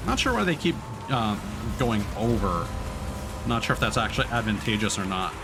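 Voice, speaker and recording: Man, lowish voice, casual gameplay commentary, halting thinking-aloud delivery, clear game music behind. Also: very animated. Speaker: video game streamer. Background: game music and vehicle sounds.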